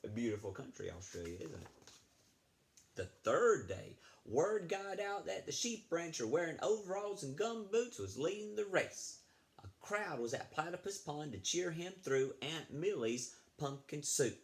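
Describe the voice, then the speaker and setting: A man reading a picture book aloud to children in an animated, chatty way, breaking off to ask them questions. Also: fairly high pitch, a little slow, vocal fry. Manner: animated, chatty, asks the young listeners questions. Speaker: children's read-aloud storyteller, school librarian.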